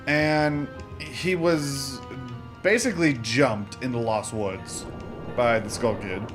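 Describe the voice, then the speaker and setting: A man's voice: medium pitch, slow pace. A young man hosting a let's-play, chatting casually and rambling with lots of fillers and self-corrections. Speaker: gaming channel host. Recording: game music playing behind voice. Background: video game music.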